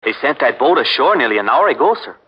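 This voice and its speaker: Man acting an alert fort officer, quick crisp reports, dull old radio sound. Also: medium pitch, very animated, natural voice. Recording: dull 1940s radio recording.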